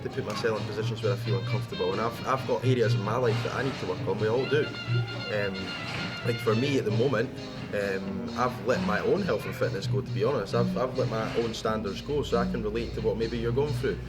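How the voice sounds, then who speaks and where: A man's voice: lowish voice, fast, poor audio. A man speaking in a casual motivational style, blunt and emphatic, repeating phrases to drive points home. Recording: music behind the talk. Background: background music.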